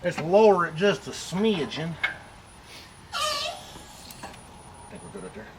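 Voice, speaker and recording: A man's voice: high voice, expressive, a little slow, creaky. Oklahoma guy cussing and joking around, voice goes up and down a lot, garage noise. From Oklahoma, USA. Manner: cursing, playful banter. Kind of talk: casual chat while working. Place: home garage. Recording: noisy garage.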